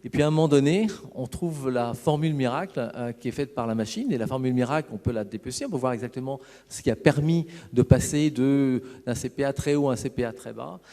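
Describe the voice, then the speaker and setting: A man giving a semi-improvised, halting and discursive explanation as an executive at a conference. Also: medium pitch, warm tone. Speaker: business executive presenting a case study. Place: conference hall on stage. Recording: reverberant hall sound.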